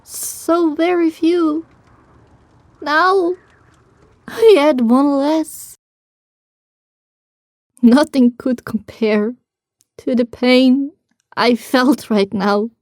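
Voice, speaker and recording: Lady voicing a sad young pony girl narrating her story, high voice, real slow and halting, emotional, super dry sound. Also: no echo. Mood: grief-stricken. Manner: emotional, halting, drawn-out delivery. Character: grieving, determined young female pony recounting her tale. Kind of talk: first-person dramatic audiobook narration.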